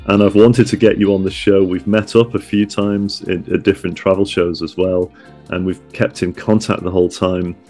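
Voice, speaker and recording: Low-pitched male podcast host. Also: little pitch movement, normal speed, darkish tone, faint music behind. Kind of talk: conversational interview.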